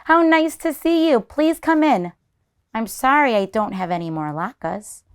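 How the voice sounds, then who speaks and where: A woman's voice: fairly high pitch, lively intonation, measured pace, smooth. A woman voicing a kindly, chatty elderly grandmother in a children's storybook, fussing and exclaiming as she hosts. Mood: friendly, puzzled.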